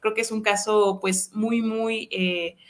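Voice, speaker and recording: A woman's voice: medium pitch, fairly even intonation, slow. A Mexican woman hosting a live interview, talking in an engaged way and often checking in with tag questions. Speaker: host.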